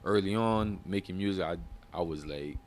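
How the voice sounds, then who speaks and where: A man's voice: normal speed, a little mellow, slight background noise. A man, answering interview questions about his music in a laid-back, slangy way. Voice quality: deep voice. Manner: laid-back, slangy, loose phrasing with many fillers. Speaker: rapper and musician.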